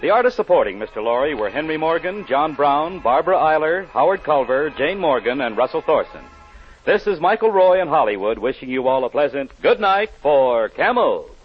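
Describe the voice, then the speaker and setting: A man's voice: fairly high pitch, sing-song, moderate pace, soft background music. A man reading scripted sponsor announcements as a 1940s radio announcer. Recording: dull, husky-sounding vintage radio recording.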